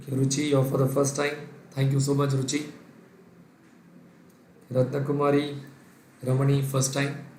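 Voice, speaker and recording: A man's voice: medium pitch, monotone, moderate pace, pauses often, a little mellow, reverberant, a little hiss or hum. A man from Kerala with an Indian English accent and Sindhi as his first language, giving a live online revision lecture. He dictates the working step by step and reads out viewers' names, answering them as he goes. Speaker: finance lecturer.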